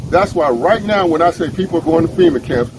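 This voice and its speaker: A man's voice: a little high, expressive, brisk, noisy background. An American man giving an informal, earnest and urgent video address to his community as an organizer, repeating himself for emphasis. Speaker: emergency preparedness and ham radio organizer. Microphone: phone.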